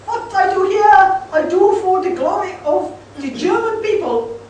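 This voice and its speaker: Heard from afar in a very echoey hall, a woman plays a fanatical, proud saboteur. The voice is high and swings widely in pitch, declaiming grandly in comic radio-play style. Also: animated, unhurried, darkish tone. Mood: triumphant. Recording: distant, very echoey hall, faint noise.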